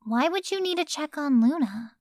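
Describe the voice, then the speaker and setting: A woman voices a young pony princess in a cartoon audio drama. Her high voice leaps around in pitch, courteous and flustered. The recording is dry. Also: lively intonation, normal speed, no room sound. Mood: surprised, concerned. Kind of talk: animated-series fan audio drama.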